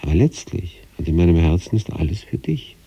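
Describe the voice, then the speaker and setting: A man acting an obsessive sanatorium patient in a literary radio monologue, reading out letters to his wife with measured, introspective intensity and a husky, gravelly voice. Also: big pitch swings, far from the mic, heavily acted, low-quality recording. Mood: fervent, secretive. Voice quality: husky, gravelly, dark low voice.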